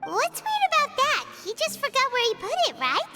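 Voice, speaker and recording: A tiny, childlike game fairy, squeaks along at an extremely high pitch. The delivery leaps around, curious and excitable, full of exclamations and questions. Loud music plays behind. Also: female voice, normal speed, heavily acted. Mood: curious, surprised. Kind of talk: video game character acting.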